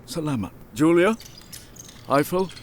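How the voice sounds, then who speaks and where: An actor playing a gentle, welcoming middle-aged father in a Filipino radio drama, speaking courteously. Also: on the high side, animated, slow, slight background noise.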